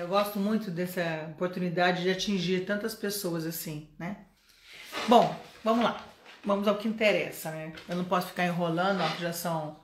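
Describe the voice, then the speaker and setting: A woman's voice: mid-range voice, roomy sound. A Brazilian woman teaching English in a live stream, chatty and encouraging, often checking in with her viewers. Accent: Brazilian Portuguese.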